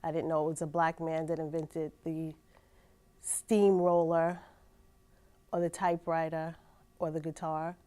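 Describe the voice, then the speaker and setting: A woman's voice: sits low, completely flat delivery, unhurried, long pauses, warm tone. A woman, a community resources coordinator, speaking reflectively and encouragingly in an interview. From New York.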